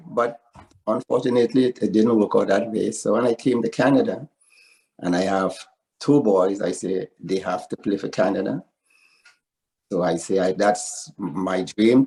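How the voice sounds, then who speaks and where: Older Trinidadian man, low voice. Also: darkish tone, rough recording. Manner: proud, earnest storytelling. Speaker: interviewee, father of a footballer. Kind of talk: casual interview.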